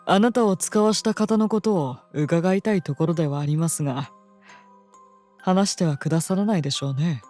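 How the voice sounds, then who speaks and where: An actor playing a courteous, unnervingly calm guardian of a princess in a fantasy audio drama, speaking formally and precisely. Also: male voice, on the high side, moderate pace, faint music behind, natural voice. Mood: composed, probing. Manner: formal, gentle, precise polite speech.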